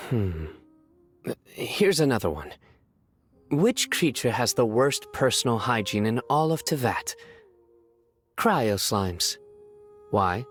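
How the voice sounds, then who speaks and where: A man voicing a serious, stoic young lawman in a video game, earnest and deadpan with a measured way of talking. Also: mid-range voice. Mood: dry, hopeful. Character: serious, stoic young male lawman who earnestly tells bad jokes. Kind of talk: video game character voice acting. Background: music.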